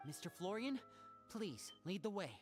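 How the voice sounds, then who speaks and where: A woman voicing a lively, determined young female magician in an anime game. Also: medium pitch, moderate pace, lightly acted. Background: music.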